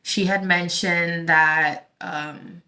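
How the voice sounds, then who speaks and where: A woman from the Dominican Republic chats casually to her online audience. Her voice is fairly low and clearly bright, with a forward edge. She takes her time, rambling and halting as she works out what to say next. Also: fairly even intonation. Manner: halting, rambling, thinking aloud. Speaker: tarot reader and channel host. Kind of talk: casual vlog talk to viewers.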